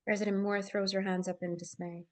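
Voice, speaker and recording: A woman's voice: medium pitch, flat delivery, a little fast. A woman reading scripted stage directions as narrator at a live table read, in full descriptive sentences.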